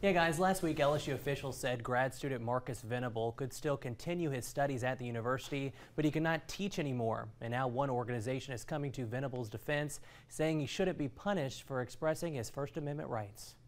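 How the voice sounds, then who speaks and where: Young adult male, TV reporter reading a scripted news package, medium pitch. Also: fairly quick, hardly any pauses.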